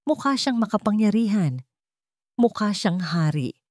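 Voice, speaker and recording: A woman's voice: mid-range voice, big pitch swings, a little slow, a little mellow, dry recording, clean studio sound. A woman reading scripted story narration in a dramatic storytelling style.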